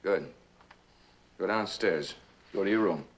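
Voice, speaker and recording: An actor playing a coldly calculating, condescending male manager in a measured, controlled suspense drama style. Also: deep voice. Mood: commanding. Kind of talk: old radio and television suspense drama acting.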